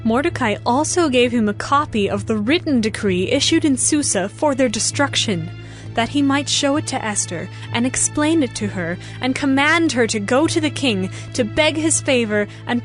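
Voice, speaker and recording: Female narrator for dramatized scripture, mid-range voice, solemn and measured, with music beneath. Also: fairly high pitch.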